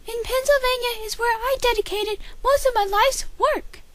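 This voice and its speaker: A woman's voice: very high-pitched, normal speed. A teenage girl voicing a woman author who recounts her life in a plainly read school report. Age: teens. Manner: plain, steady reading of a report. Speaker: student. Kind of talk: school project doll play.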